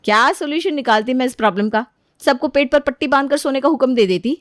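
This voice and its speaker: A woman's voice: high voice, quick pace, no room sound, clean studio sound, pitched above the performer's own voice, lightly acted. Woman as a wry, weary elder sister-in-law. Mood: defensive, sarcastic. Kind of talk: Hindi animated family story dialogue.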